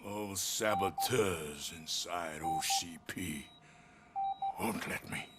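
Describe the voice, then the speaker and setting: A man playing a frail, ailing old company chief in a video game, weary, wistful and halting. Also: sits low, heavy background noise. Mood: weary, grave. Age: older. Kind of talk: video game cutscene acting.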